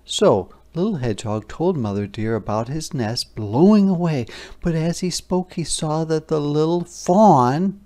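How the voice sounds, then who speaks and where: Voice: medium pitch, lively intonation, unhurried, mellow. A teacher reading a story aloud to young children, expressively, with casual explanations and questions. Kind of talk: interactive children's story narration with casual asides.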